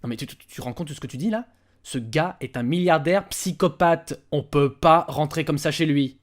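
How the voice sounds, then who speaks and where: A man's voice: a little high, normal speed, lightly acted. A man playing a cautious, skeptical adventurer and researcher in a fantasy audio drama, explanatory in manner. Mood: incredulous, worried. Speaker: voice actor in an amateur audio fiction.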